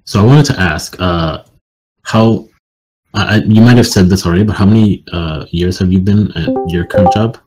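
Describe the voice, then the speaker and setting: A man moderating an informal online Q&A, putting his questions in a halting, loosely phrased way. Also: deep voice, a little mellow, soft background music, poor audio. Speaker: interviewer and Q&A moderator. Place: online group call.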